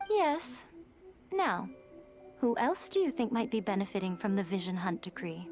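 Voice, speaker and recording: A woman voicing a sly, elegant fox shrine priestess in an anime game, speaking in a silky, coy, measured and teasing manner. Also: mid-range voice, big pitch swings, close to an everyday voice. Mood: pointed, knowing. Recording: music behind the voice.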